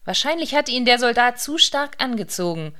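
A woman narrates a literary radio play. Her voice sits a little high. She reads scripted prose as the storyteller. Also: sing-song, normal speed, pushed and bright.

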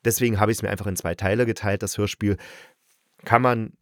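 A man with a fairly low voice presents an informal spoken review in a very dry recording. He is chatty and enthusiastic, using loose, slangy phrasing as he speaks straight to his listeners. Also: steady tone, a little fast, fairly bright tone, dry recording. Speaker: podcast host reviewing radio plays. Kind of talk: casual, colloquial spoken review.

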